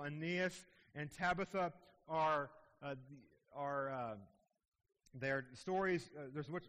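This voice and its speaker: A man's voice: a little high, fairly bright tone, big-room sound. A man preaching a sermon, explaining a scripture passage in a teaching manner with frequent asides to the listeners. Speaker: pastor.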